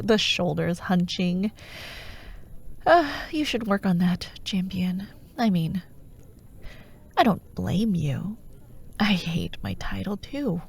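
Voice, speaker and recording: Woman playing a breathy, sardonic dark warlord villainess. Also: fairly low pitch, exaggerated voice. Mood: wry, teasing. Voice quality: breathy, often whispering. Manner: wry, taunting, theatrical, unhurried. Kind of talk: soft ASMR fantasy roleplay monologue.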